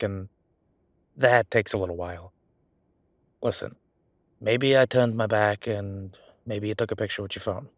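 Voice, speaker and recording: Man playing a low-voiced, wry, chatty records clerk. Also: fairly bright tone, no room sound. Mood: reluctant, conspiratorial. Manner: rambling, wry, exaggerated feigned innocence. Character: chatty, sardonic male records clerk bending the rules. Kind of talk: roleplay audio drama.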